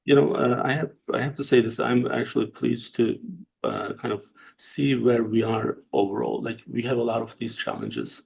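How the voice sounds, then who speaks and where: A man from Turkey, hosting an interview in a casual, halting way with many fillers and restarts. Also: mid-range voice, steady tone, creaky, darkish tone, slight background noise. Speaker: host and company CEO.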